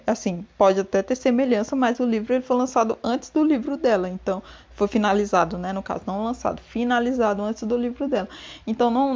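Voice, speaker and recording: A woman's voice: on the high side, darkish tone, muffled recording. A Brazilian woman with a Brazilian Portuguese accent, chatting casually about a book, rambling with many restarts and frequent laughs. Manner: gossipy, laughs often. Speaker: book vlogger. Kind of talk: casual chat. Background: rain and thunder.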